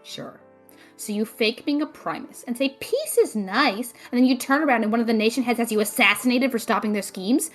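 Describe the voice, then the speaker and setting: A woman's voice: fairly high pitch, brisk, faint music behind, close to an everyday voice. A woman playing a skeptical, protective young adventurer in an audio drama, blunt and wry. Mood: sarcastic, worried. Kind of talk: scripted audio drama acting.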